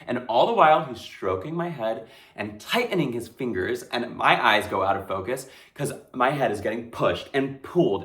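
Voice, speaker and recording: A man playing a dazed, terrified young street hustler in a breathless, halting dramatic stage monologue. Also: mid-range voice, a bit bright. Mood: pained, agitated. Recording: echoey room.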